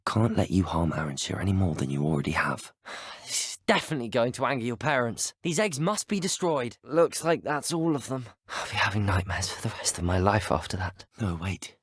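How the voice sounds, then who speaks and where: Courteous young British student wizard, very animated. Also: male voice, on the low side, fairly quick, slightly rough, dry recording, clean studio sound. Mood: grave, wary. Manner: courteous, well-spoken. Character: polite, earnest, inquisitive teenage male student wizard. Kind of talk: scripted video game dialogue voice lines.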